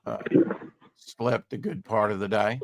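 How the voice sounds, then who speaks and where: Man, slightly raspy, rambling host, filler-heavy casual chat, dry close sound. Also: lowish voice, unhurried, no echo. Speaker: podcast host.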